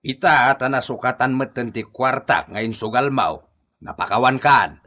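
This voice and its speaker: An actor playing a blunt, gruff older father-in-law in a comedy radio drama, with a commanding manner. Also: medium pitch, a little slow, bright, forceful tone. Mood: stern.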